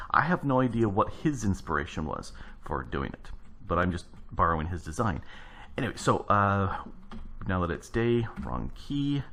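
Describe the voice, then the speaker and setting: Low gravelly man narrating gameplay. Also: a bit bright, slight background noise. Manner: rambling, thinking aloud while building. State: gravelly early-morning voice. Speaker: let's play host. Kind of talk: casual gameplay commentary.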